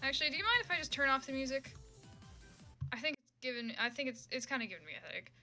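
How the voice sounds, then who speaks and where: A woman's voice: high-pitched, sing-song, fast talker, sharp tone, faint music behind. A woman livestreaming fan fiction readings in a casual, sweary, self-mocking way. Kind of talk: casual livestream chat and comic read-aloud.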